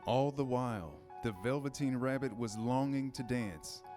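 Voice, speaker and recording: Man narrating a storybook over soft music. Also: mid-range voice, moderate pace.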